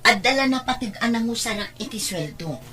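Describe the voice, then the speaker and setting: A woman's voice: a little high, expressive, brisk, sharp tone. A woman playing a tired, self-sacrificing mother in a radio drama, with a Filipino accent and a placating manner. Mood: pleading. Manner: placating, long-suffering. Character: weary, self-sacrificing mother working abroad. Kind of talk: Ilocano radio drama acting.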